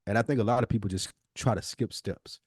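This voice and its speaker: A man's voice: lowish voice, quick pace, breathy edge, no room sound, clean studio sound. A man co-hosting a podcast, giving conversational advice in informal, emphatic speech with frequent repetition. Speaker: podcast co-host.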